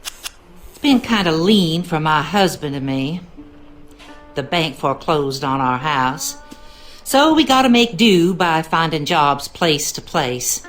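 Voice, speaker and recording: A woman's voice: deep voice, very animated, takes it slowly, faint music behind, heavily acted. An actress playing a folksy, gossipy cleaning woman, talking confidingly in a game monologue.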